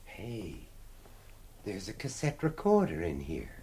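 Deep, animated professor sleuth. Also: male voice, takes it slowly, breathy edge, heavily acted. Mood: searching, urgent. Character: shrewd, insistent American professor turned amateur sleuth. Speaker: actor in a radio drama. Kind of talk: British radio drama acting.

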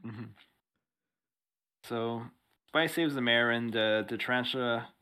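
A man's voice: mid-range voice, slow, bright, forceful tone, clean tone. A man co-hosting a casual podcast, recapping a comic book with dry jokes. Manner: laid-back, recaps plot with dry jokes. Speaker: podcast co-host.

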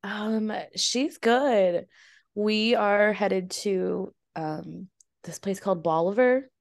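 A young woman with a raspy voice co-hosting a casual podcast chat, rambling and relaxed. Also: medium pitch, slow, no echo, clean studio sound. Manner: relaxed, rambling, laughs often. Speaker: podcast co-host.

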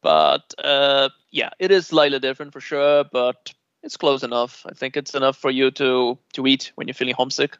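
A man from Brazil with a Brazilian Portuguese accent, a native Portuguese speaker chatting relaxed in an interview, laughing often and filling pauses with uhs. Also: mid-range voice, clear, resonant tone, dry recording. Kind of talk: casual conversational interview. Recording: dull lo-fi dry sound.

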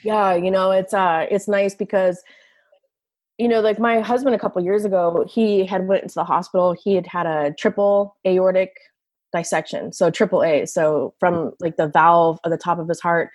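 A woman's voice: on the low side, fairly even intonation, moderate pace, a little mellow, dry recording. A woman working as an enologist with a big voice, chatting casually with many fillers in an interview.

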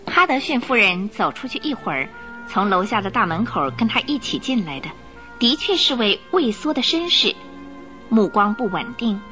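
A woman's voice: mid-range voice, sing-song, moderate pace, pushed and bright, soft background music. A woman giving dramatic scripted narration as the storyteller of a radio drama.

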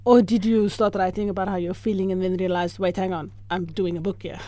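A woman hosting a radio interview, asking measured, friendly questions. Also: medium pitch, steady tone, dark tone, no room sound. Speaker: radio host.

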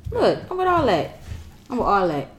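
A woman's voice: on the high side, huge pitch swings, normal speed, reverberant. A woman co-hosting a podcast, talking in blunt casual slang and telling stories with lots of animation. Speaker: podcast co-host. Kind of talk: casual chat.

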